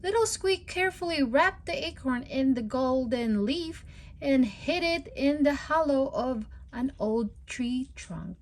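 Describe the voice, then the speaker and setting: A woman's voice: high voice, unhurried, a little hiss or hum. A woman hosting a children's storytime, reading a picture book aloud in a sing-song, child-directed way. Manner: sing-song, animated talk addressed to young children. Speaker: storytime read-aloud host. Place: at home.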